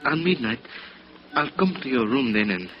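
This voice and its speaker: A man's voice: medium pitch, expressive, moderate pace, close to an everyday voice. A man playing a deferential Spanish-accented bellboy informant. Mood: hesitant, cautious. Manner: polite, hesitant, deferential. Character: deferential but wily Latin American hotel bellboy informant. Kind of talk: 1950s radio drama acting. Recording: muffled, noisy vintage radio recording.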